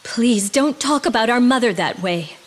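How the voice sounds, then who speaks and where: An actress playing a sorrowful, dignified young royal in a fantasy game, earnest and formal. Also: female voice, on the high side, expressive, moderate pace, long reverb tail, a little hiss or hum, lightly acted. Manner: earnest, formal, archaic phrasing. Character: sorrowful, dignified young royal woman, gentle but resolute. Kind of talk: theatrical fantasy game drama.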